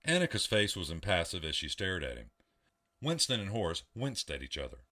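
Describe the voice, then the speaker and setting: A man narrating an audio drama in a steady storytelling voice. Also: low voice, a little fast.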